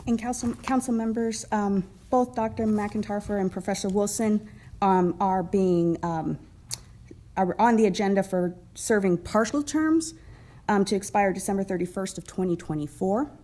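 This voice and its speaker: A woman at a council meeting introduces appointees one by one, with a friendly tone. Her voice sits at a middle pitch. She often pauses with ums. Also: dark tone. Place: council chamber.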